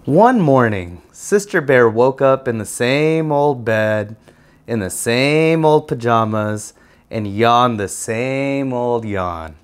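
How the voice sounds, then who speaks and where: A man's voice: mid-range voice, expressive, slow, clean tone. A man narrating a children's storybook aloud as a guest reader.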